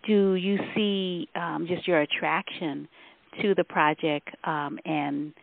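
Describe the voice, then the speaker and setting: Host woman with a low, gravelly voice and an unhurried, halting way of asking questions, heard over a tinny phone line. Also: fairly even intonation, dry recording. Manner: slow, halting, with many fillers. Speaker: radio show host. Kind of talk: interview questions.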